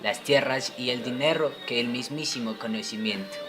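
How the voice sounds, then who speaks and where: Scholarly medieval explainer played by a man, mid-pitched, didactic scripted delivery, music clearly underneath. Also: normal speed, close to an everyday voice. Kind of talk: amateur educational radio play.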